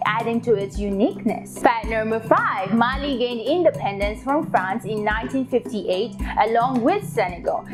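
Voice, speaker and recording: A woman presenting an educational video in a scripted, explanatory narration style. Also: a little high. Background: background music.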